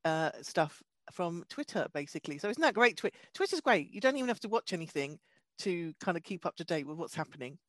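A woman's voice: on the low side, sing-song, brisk, no room sound. A woman comedian co-hosting a chat show, talking chattily with easy laughter and stumbling restarts. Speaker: comedian and co-host. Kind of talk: casual chat and guest introductions.

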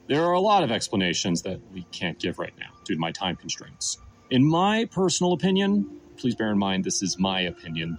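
A man's voice: mid-range voice, low-quality recording. Man, Bible teacher, scripted sermon narration, emphatic delivery, very varied intonation, faint music bed. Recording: music playing under the voice.

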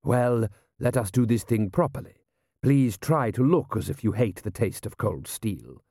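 A man voicing a suave, mocking desert prince with a drawn-out, mock-polite manner in an audiobook. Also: lowish voice, animated, warm tone, breathy edge, no echo, clean studio sound, heavily acted. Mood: smoothly amused.

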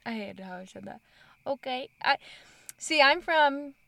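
A woman's voice: sits high, a little slow, gravelly, no room sound. A woman from Utah with a Utah accent, chatting casually and telling stories in a chipper, bubbly way. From Utah, USA. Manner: animated storyteller, laughs often, chipper and bubbly. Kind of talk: casual chat.

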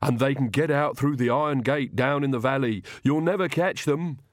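A man's voice: mid-range voice, moderate pace, dry recording, studio quality, pitched above the performer's own voice, natural voice. A man voicing a lumbering monster servant, grieving and miserable, in an audiobook story. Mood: glum. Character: lumbering, grieving monster servant, sobbing over his dog.

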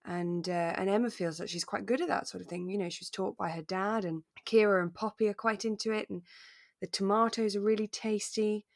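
A woman co-hosting a podcast in relaxed, informal, readily amused chat, recapping as she goes. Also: mid-range voice, fairly quick, no room sound, clean studio sound. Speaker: podcast co-host.

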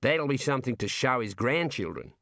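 A man's voice: mid-range voice, lively intonation, fairly quick, sharp tone, dry recording, studio quality. A man voicing a cheerful, chatty prison warder with an Australian accent and a breezy, matey manner, in a storybook reading. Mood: jovial. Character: cheerful chatty male prison warder, jovially morbid, folksy.

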